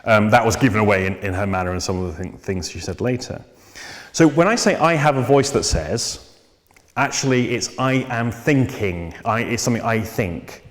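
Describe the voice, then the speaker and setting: A man teaching about psychotherapy in a measured, thoughtful way, pausing often with ums and restarting his sentences. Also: lowish voice. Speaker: psychotherapy trainer. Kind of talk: unscripted lecture on therapy. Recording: long reverb.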